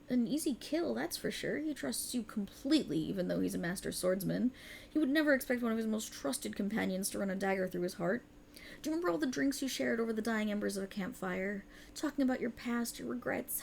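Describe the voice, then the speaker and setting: A woman acts out a cruel, seductive otherworldly goddess in an ASMR roleplay. Her voice is fairly high with a heavy creak. She speaks with haughty, theatrical condescension, taunting her mortal servant over faint hiss. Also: lively intonation, a little fast, darkish tone. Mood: sadistic, seductive. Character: cruel, haughty otherworldly warlock patron, a seductive dark deity. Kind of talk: ASMR roleplay, scripted dramatic monologue.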